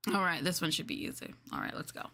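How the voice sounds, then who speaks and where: A woman chatting casually as a VTuber on a livestream, often hesitant and thinking aloud. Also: lowish voice. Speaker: VTuber streamer.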